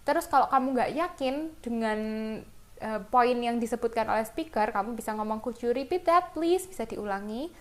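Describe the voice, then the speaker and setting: A woman's voice: on the high side, animated, normal speed. A woman with an Indonesian accent teaching an English lesson informally, explaining example phrases and talking directly to students.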